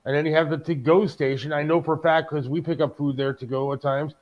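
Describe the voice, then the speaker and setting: A man's voice: fairly high pitch, steady tone, fast talker, dull audio. A middle-aged American man co-hosting a talk show, griping casually and emphatically, with some swearing. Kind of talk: casual, opinionated chat.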